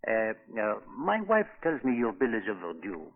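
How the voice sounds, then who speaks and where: An actor playing a worried, pleading French hotel keeper, formal and hesitant, in a 1940s radio drama. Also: male voice, lowish voice, huge pitch swings, moderate pace. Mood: coaxing. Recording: muffled 1940s radio recording.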